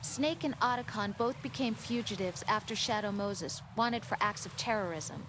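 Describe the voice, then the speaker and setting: An actress playing a concerned young woman on a military support team, speaking in a measured way in video game dialogue. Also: medium pitch, lightly acted. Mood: informative. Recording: noisy game audio with ambience.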